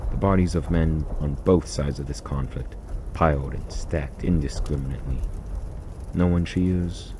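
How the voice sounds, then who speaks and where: A man reading a first-person short story aloud in a deliberate, grave, theatrical way, on a noisy recording. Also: a bass voice. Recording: noisy recording with constant background noise.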